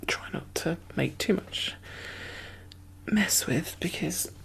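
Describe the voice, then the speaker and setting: A woman doing a soft-spoken, whispered ASMR caregiver roleplay in a gentle, murmured, reassuring way. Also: fairly low pitch, animated, slow pace, vocal fry, rough recording.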